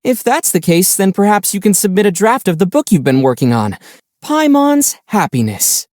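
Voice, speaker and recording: A man voicing a young adventurer in a video game, calm, kind and dryly witty, with a gently teasing, unhurried delivery. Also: high voice, no room sound, studio quality.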